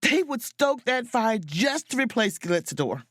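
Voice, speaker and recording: A woman playing a confident, sharp-witted, proud and flirtatious sleuth in an audio drama, with an emphatic, theatrical delivery. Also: fairly high pitch, big pitch swings, unhurried, dry recording, studio quality, heavily acted. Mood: scheming, excited. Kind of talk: audio drama acting with first-person narration.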